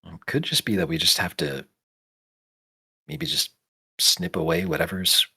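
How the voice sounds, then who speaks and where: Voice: low-pitched, a little slow, pushed and bright, slightly rough. A game master improvising narration for a tabletop role-playing game, casual and thinking aloud.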